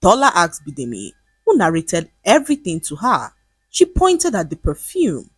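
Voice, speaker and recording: A woman narrating a scripted folktale in an expressive, dramatic storytelling manner. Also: on the low side, slightly rough, dry recording.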